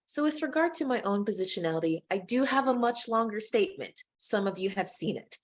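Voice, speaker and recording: A middle-aged American woman moderating and presenting in a webinar, speaking in a measured, inclusive way straight to the audience. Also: medium pitch, lively intonation, slight background noise. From United States.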